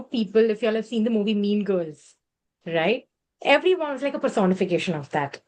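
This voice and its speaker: A woman in her thirties from Gujarat, India, telling a personal story to an online group in a chatty way that corrects itself as she goes. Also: mid-range voice. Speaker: speaker sharing a personal story.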